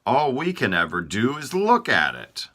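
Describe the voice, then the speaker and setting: A man putting on peevish, hungry body parts from a children's storybook, whining loudly in a high voice. Their complaints come out pitched well above a man's low speaking voice, with an animated, sing-song rise and fall. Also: a little slow, full character voice. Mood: whiny. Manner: whiny, raised voice, louder than the narration.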